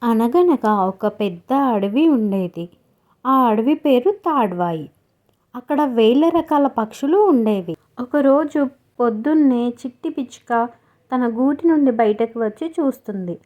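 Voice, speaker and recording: A woman's voice: on the high side, animated, unhurried, narrow, tinny audio. A woman narrator reading a scripted story for children.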